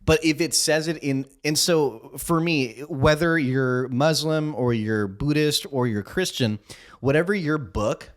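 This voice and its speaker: A man's voice: on the high side, unhurried. A young man hosting a casual podcast chat, rambling with fillers and cracking off-color jokes. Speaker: podcast host.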